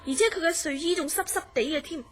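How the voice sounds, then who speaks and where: An actress plays an earnest woman in a Cantonese horror radio play, with a Hong Kong accent. Her voice is high and she recounts uncanny dreams in a serious, measured way. Faint music sits behind her. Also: a bit bright. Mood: earnest, eerie. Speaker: radio drama actor. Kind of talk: radio drama dialogue, recounting a strange story.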